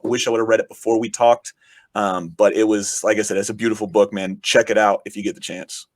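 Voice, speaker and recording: A man, a podcast guest who is also a podcast host, talking in rambling, enthusiastic casual chat, his voice raspy from shouting. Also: lowish voice, fairly even intonation, fast, no echo, studio quality. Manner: rambling, enthusiastic, frequent swearing.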